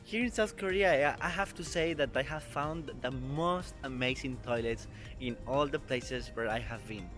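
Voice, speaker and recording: A man's voice: mid-range voice, moderate pace. Young man explaining over background music. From Costa Rica. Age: 20s. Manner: earnest, careful non-native English with small grammar slips. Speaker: vlogger. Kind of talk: explanatory travel vlog. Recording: music playing underneath.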